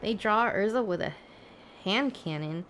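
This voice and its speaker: A woman's voice: medium pitch, lively intonation, measured pace, a bit bright. A woman livestreamer chatting casually with her viewers, thinking aloud in a hesitant, rambling way. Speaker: live streamer.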